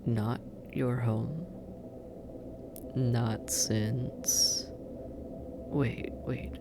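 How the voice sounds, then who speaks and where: A performer in a roleplay audio drama voicing a sardonic, teasing demon captor who drawls and murmurs with heavy pauses. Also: medium pitch, steady tone, creaky, background noise. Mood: puzzled, intent. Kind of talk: scripted roleplay audio drama, intimate second-person address.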